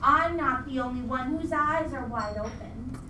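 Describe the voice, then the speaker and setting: A woman's voice: high-pitched, a little slow. A woman acting a vengeful, gleefully demonic female ghost in a live radio play, with a theatrical, taunting delivery full of wordplay. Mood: gloating menace. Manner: theatrical, taunting, pun-heavy. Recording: echoey live venue, distant mic, noisy.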